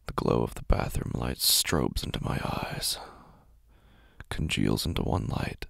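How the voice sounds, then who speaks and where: Very deep gravelly man narrating horror. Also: expressive, a little slow, a bit bright, no room sound, studio quality. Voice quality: gravelly, husky, breathy at times. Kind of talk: dramatic first-person horror narration.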